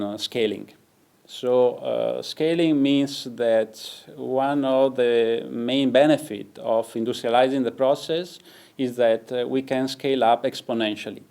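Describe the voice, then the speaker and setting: A man's voice: medium pitch, a little slow, vocal fry, darkish tone. A man with an Italian accent, a company CEO answering interview questions with frequent hesitations and restarts. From Italy. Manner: hesitant, many fillers and restarts.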